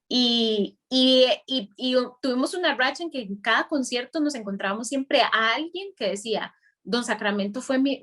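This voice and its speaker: A woman's voice: on the high side, a bit bright. A young Costa Rican woman from Guanacaste, a musician guest, talking reflectively in a live video chat with many small restarts. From Costa Rica, Guanacaste. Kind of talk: casual interview over a live video stream. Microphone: video call.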